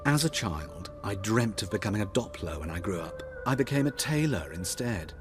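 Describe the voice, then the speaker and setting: Flamboyant male fantasy-game tailor: witty, coy, lowish voice with very lively intonation. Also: moderate pace, music in the background. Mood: wistful, playful. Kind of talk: video game dialogue acting.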